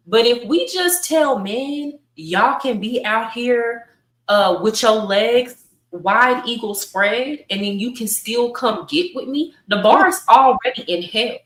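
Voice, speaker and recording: A woman's voice: fairly high pitch, lively intonation, takes it slowly, a bit bright. An outspoken woman debating in a casual, conversational way as a panelist on a podcast. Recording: roomy echo, distant from the mic.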